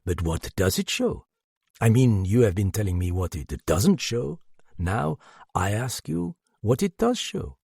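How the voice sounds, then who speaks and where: Man voicing a commanding French detective, gravelly and deliberate. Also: lowish voice, big pitch swings, darkish tone, dry recording, studio quality, full character voice. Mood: cool, probing. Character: commanding French detective, bearded, cool and cryptic.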